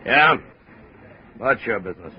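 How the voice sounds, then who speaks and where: A man playing a gruff, lazy ship's chief officer in vintage radio drama. He is curt and surly, with a medium-pitched voice. The recording is muffled, with faint noise. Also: lively intonation, measured pace, close to an everyday voice. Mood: surly, offhand. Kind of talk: 1950s radio drama acting.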